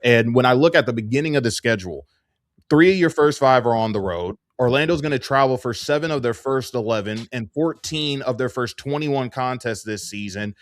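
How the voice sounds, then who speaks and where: Guy hosting a sports chat, mid pitch, close dry sound, long winding questions. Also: brisk, no echo. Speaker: sports interview host. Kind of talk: conversational sports interview.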